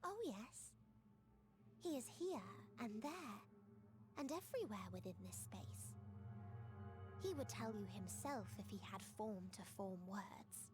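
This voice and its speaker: An actress playing a cold, sorrowful otherworldly female being in a video game, speaking in a solemn, measured, detached way. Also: mid-range voice, expressive, lightly acted. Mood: detached, cryptic. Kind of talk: dramatic scripted game voice acting. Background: loud music.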